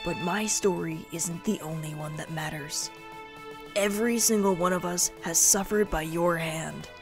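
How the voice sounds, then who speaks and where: Woman playing a gravelly, earnest rebel hero. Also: fairly low pitch, unhurried, music in the background. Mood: defiant accusing. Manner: earnest, speechifying, dramatic. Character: vengeful, resolute rebel leader, male hero. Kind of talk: fantasy audio drama acting.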